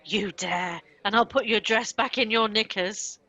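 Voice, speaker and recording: A woman acts a chambermaid with a sharp tongue and a bossy, protective streak. She pitches it mid-range, in the style of a comic radio play. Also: a little slow, highs cut off. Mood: wry, bossy.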